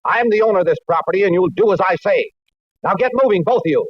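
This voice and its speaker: Curt, husky ranch boss. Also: male voice, high voice, lively intonation, fast, dry recording. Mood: stern, angry. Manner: brusque, commanding. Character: curt, domineering male dude ranch owner. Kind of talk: 1940s radio acting. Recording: muffled 1940s radio recording.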